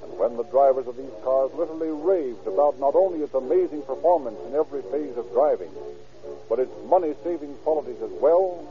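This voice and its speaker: Tinny 1930s radio announcer, a man. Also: mid-range voice. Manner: formal, declamatory. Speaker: announcer and narrator. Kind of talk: 1930s radio announcing, scripted sales pitch and narration. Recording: tinny, band-limited 1930s radio recording.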